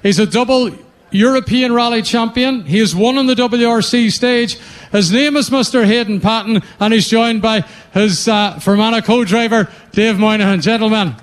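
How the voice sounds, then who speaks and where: A man hosting live stage interviews at a rally event, brisk and chatty. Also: high-pitched. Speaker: event host.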